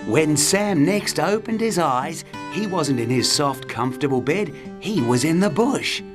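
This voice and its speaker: Slow, expressive woman storyteller over guitar. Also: deep voice. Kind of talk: scripted children's story narration. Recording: guitar music behind narration.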